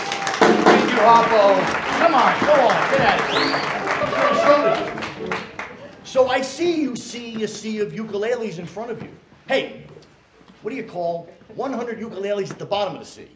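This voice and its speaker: A man emceeing a live variety show, announcing acts with showy energy and telling jokes. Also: very high-pitched. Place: live stage before an audience. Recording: echoey live hall with crowd.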